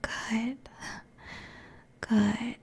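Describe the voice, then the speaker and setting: A woman plays a merciless succubus queen in an intimate ASMR roleplay. Her voice is husky and dark, drawn out very slowly with a creaky edge. She sounds sultry and commanding, right up at the microphone. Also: a little high, level tone, full character voice. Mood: commanding, seductive. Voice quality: breathy, whispery, husky. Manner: slow, commanding, sultry, deliberate. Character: seductive, merciless succubus demon queen draining mortal souls. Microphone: close binaural microphone.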